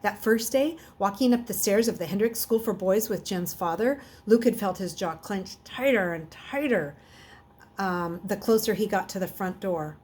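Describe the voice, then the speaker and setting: Husky woman reading a story aloud. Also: medium pitch, normal speed. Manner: expressive, with stumbles and self-corrections. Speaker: reader. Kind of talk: read-aloud of a children's novel.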